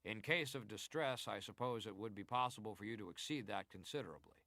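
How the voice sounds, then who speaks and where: Formal, probing senator. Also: male voice, lowish voice, gravelly, bright, forceful tone, no echo, heavily acted. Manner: formal, deliberate, inquisitorial. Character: formal, probing American senator questioning a witness. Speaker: actor reading hearing testimony. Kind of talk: dramatized reading of historical hearing.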